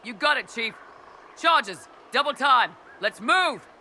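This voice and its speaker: A man's voice: very high voice, expressive, unhurried, pushed and bright, a little hiss or hum, full character voice. A man playing an eager, cheeky mercenary lieutenant in a video game, answering his captain confidently. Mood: rallying. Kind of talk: video game cutscene acting.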